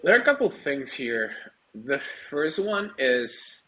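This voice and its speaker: A man's voice: medium pitch, sing-song, unhurried, bright, forceful tone, low-quality recording. A man from Argentina, answering interview questions conversationally, searching for words as he explains. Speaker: startup executive, interview guest.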